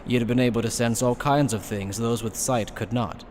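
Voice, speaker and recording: A man's voice: mid-range voice, fairly even intonation, moderate pace, darkish tone. A man reading scripted, dramatic third-person narration for an anime fan dub. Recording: reverberant, slightly noisy recording.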